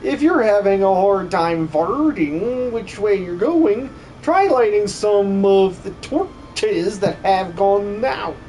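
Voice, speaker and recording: A man's voice: high-pitched, darkish tone, slight background noise, poor audio. A man voicing a flamboyant, doting tunnel dweller in an amateur game dub, with a camp, affectionate drawl. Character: flamboyant, theatrical, doting older sibling lost-tunnel dweller.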